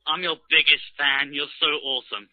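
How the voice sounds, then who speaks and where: A man's voice: fairly high pitch, steady tone, normal speed, no room sound. A man with an English accent, chatting casually as an interviewer on a call. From England. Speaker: interviewer, prank caller. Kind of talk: casual phone chat. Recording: tinny, narrowband phone line.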